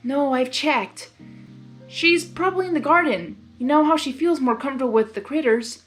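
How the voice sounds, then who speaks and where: Woman voicing an earnest, high-voiced pony princess. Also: animated, moderate pace, faint music behind. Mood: concerned. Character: poised, earnest female cartoon pony princess.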